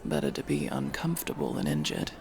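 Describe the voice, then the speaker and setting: A man playing a guarded but kind armored adventurer in a fantasy roleplay audio drama, gravelly, dry and gently teasing. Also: mid-range voice, big pitch swings, quick pace. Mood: dry, reassuring. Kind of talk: immersive roleplay audio drama, one-sided conversation.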